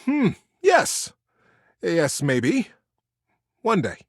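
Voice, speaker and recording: A man's voice: high-pitched, huge pitch swings, very slow, no echo, studio quality, voice pushed up, heavily acted. A man voicing a proud, serious young male beaver with a pompous, formal and emphatic manner in a story. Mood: guarded.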